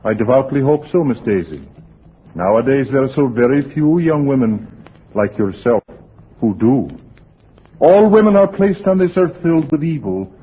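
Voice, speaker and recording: An actor playing a sinister, fanatically pious lodger in a 1940s radio drama, preaching in a formal, sanctimonious way. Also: male voice, mid-range voice, expressive, normal speed, breathy edge. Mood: righteous, stern. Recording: muffled, noisy 1940s radio recording.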